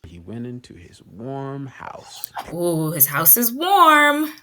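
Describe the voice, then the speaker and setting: A woman pre-K teacher giving an expressive read-aloud lesson, drawing out key words and putting guiding questions to young listeners. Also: deep voice. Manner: drawn-out emphasis on key words.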